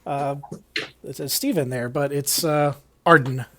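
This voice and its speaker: A man's voice: mid-range voice, measured pace, a bit bright. A young man running a tabletop game as dungeon master over a video call, talking casually with frequent "uh" fillers. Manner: hesitant, many "uh" fillers. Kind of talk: casual table talk, introducing players.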